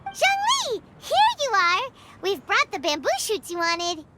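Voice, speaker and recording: A woman voicing a tiny, chirpy, cheeky fairy girl companion in a video game, with a childlike, squeaky, excitable delivery. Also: a little slow, very noisy, full character voice. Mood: excited, chirpy. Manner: excitable, refers to self by name. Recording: loud music under the voice.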